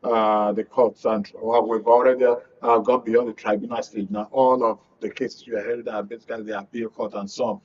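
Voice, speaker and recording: A man's voice: lowish voice, little pitch movement, moderate pace, few pauses, far from the mic, lo-fi sound. A man with a Nigerian accent hosting and moderating a political discussion programme. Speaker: host. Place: radio and TV studio.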